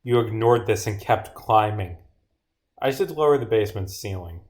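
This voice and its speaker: A man's voice: lowish voice, lively intonation, moderate pace, a little mellow. Man reading his game script on stream. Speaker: streamer and visual novel developer. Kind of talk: livestream of reading own game script aloud with commentary.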